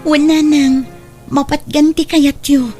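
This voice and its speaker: A woman's voice: sits high, flat delivery, takes it slowly, warm tone. A woman playing a forgiving, respectful grown daughter and young mother in a radio drama, with an Ilocano accent. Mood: gentle, forgiving. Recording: music bed behind the voice.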